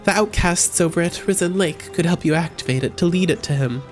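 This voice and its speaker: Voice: mid-range voice, fairly quick, lightly acted. A streamer reading aloud the lines of a weary freed prisoner who pleads for help, in a game, with game music faintly behind. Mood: urgent plea.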